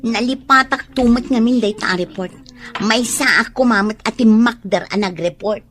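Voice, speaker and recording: A woman's voice: a little high, moderate pace, fairly bright tone, lightly acted. A woman playing a casual, forgetful young student in an Ilocano radio drama.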